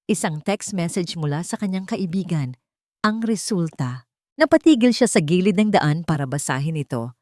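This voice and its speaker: A woman's voice: fairly low pitch, lively intonation, moderate pace, a little mellow, no room sound, clean studio sound. A woman with a Filipino accent reading a dramatic romance story as scripted narration.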